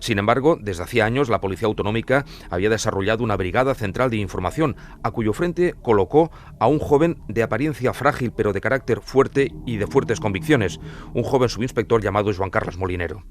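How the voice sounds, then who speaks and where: Low-voiced man, documentary podcast narrator. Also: brisk, a little hiss or hum.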